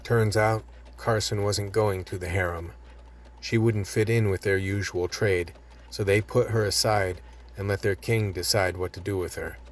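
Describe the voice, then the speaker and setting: A man's voice: lowish voice, steady tone, moderate pace, lightly acted. An actor playing a world-weary, tough survivor, telling and living his ordeal in plain-spoken hardboiled style in a radio play. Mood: wry, weary. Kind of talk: radio play narration and dialogue.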